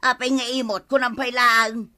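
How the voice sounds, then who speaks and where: A woman playing a sharp-tongued working wife in a comic radio drama, nagging her husband with quick retorts. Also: a little high, flat delivery. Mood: scolding.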